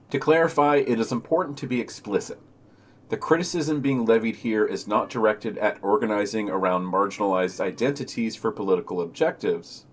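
Guy with lowish voice reading out news write-ups and quotes for a podcast. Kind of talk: scripted narration with read quotes and brief commentary.

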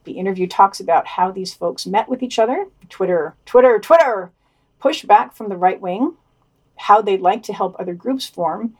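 A woman's voice: mid-range voice, animated, moderate pace, darkish tone. A woman co-hosting a podcast, reading out a scripted news digest with commentary.

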